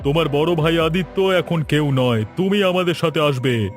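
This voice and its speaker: A man's voice: fairly high pitch, normal speed, faint music behind, close to an everyday voice. A man voicing a stern, righteous police officer in a cartoon, speaking with accusing authority. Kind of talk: Bengali cartoon dialogue.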